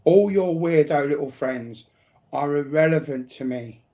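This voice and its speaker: A man's voice: a little high, unhurried, dark tone. A blunt, sweary man chatting casually as a guest on a livestream.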